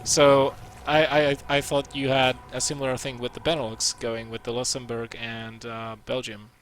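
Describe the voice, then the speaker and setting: A man's voice: medium pitch, little pitch movement, moderate pace, a bit bright, a little hiss or hum. A man from Slovakia casting a video game match in a casual, chatty way, with many fillers and restarts. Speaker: esports caster. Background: faint music.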